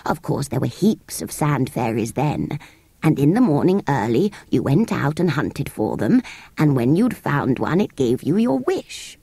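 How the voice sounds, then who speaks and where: A woman voicing an ancient, impatient sand fairy creature in a storybook reading, with a slow, drawling delivery. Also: a bass voice, sing-song, gravelly, warm tone, husky, dry recording, voice pushed down, exaggerated voice.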